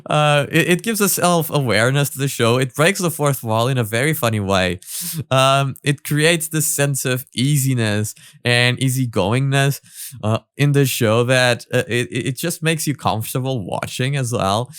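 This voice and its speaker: A man's voice: medium pitch, normal speed, a bit bright, clear, resonant tone, dry recording, clean studio sound. A man giving casual, rambling, analytical commentary as he reacts to a parody cartoon.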